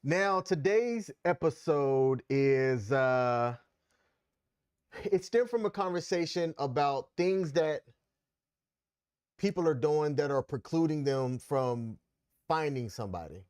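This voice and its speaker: A man's voice: a little high, expressive, takes it slowly, darkish tone, clear, resonant tone, dry recording. Easygoing man co-host, dry close sound. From Maryland, USA. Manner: easygoing, steers the talk, chuckles. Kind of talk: casual chat on a live stream.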